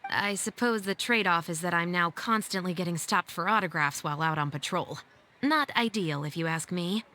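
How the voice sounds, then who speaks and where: An actress playing a level-headed female patrol captain, speaking in a dry, wry, measured way. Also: lowish voice, sharp tone. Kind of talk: game voice acting.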